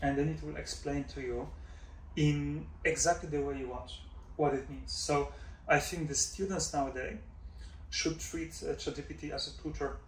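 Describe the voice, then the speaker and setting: Danish-raised professor in an echoey office. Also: male voice, fairly high pitch, fairly even intonation, moderate pace. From Denmark. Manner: thoughtful, many fillers and "right?" checks. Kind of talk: relaxed interview answers. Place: office room, indoors. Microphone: far camera mic. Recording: roomy echo from far off, faint hum.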